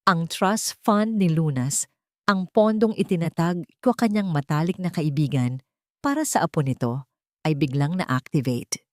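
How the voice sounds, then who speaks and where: An earnest, anxious old lawyer from a storybook drama , as a woman narrator reads him. He murmurs in a low voice, close and dry to the mic. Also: unhurried, no echo. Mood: worried. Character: old male family lawyer, worried and earnest.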